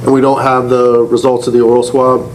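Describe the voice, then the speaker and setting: Blunt male judge over clear background noise. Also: mid-range voice, completely flat delivery, fairly quick. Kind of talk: courtroom ruling from the bench. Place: indoor courtroom. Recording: noisy courtroom recording.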